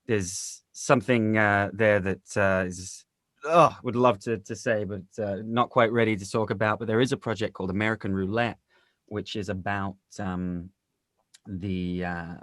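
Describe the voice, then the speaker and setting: Deep-voiced man with halting phrasing. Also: a bit bright, no room sound. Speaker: interview guest, actor and audio drama director. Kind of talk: casual panel conversation.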